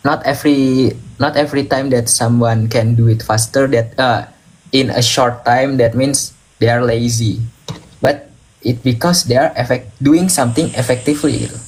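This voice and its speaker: A young man with an Indonesian accent, a student co-hosting a livestream, talking in a relaxed way with Indonesian tags. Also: mid-range voice, normal speed, rough recording. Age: young adult. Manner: laid-back, drops in Indonesian tags. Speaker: livestream co-host, university student. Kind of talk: casual livestream chat.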